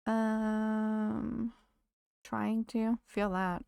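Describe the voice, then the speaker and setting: Woman game streamer, mid pitch, gravelly and dry close-mic sound, voice going hoarse. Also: level tone, very slow, darkish tone, no echo. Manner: chatty, greets viewers, talks to game characters. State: losing her voice. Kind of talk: casual live-stream chat while playing.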